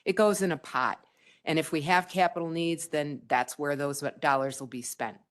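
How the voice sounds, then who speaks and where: A woman county board member making measured remarks at a public meeting, with frequent hesitations. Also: sits low.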